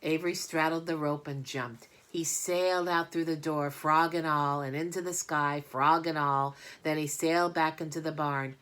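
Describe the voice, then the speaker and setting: A woman's voice: low voice, fairly even intonation, normal speed, hardly any pauses, a bit bright. A woman narrating a children's storybook aloud as a reader. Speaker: audiobook reader.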